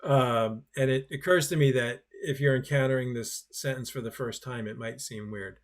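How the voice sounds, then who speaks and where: A man's voice: medium pitch, moderate pace. A man hosting an online workshop in a loose, conversational, self-deprecating way. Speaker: workshop host. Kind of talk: webinar presentation.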